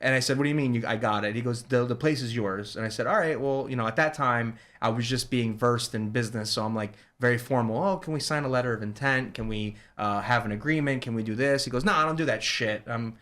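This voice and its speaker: A man from New Jersey, a shop owner, telling a story in a casual chat and acting out the people he quotes. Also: medium pitch. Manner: fast, animated retelling with quoted dialogue. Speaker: guest, ice cream shop owner.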